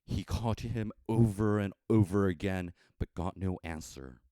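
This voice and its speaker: An American man narrating a story from a script in a measured, expressive storytelling manner with dramatic emphasis. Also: low-pitched, dry recording, studio quality. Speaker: audiobook narrator.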